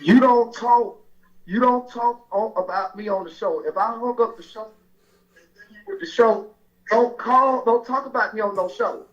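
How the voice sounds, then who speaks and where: A pastor from Alabama, calling in to a radio show and angrily threatening the host. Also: male voice, very high voice, a little slow. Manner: angry, threatening, repetitive. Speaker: caller, pastor. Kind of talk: angry phone call to a radio show. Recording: dull lo-fi phone-in sound.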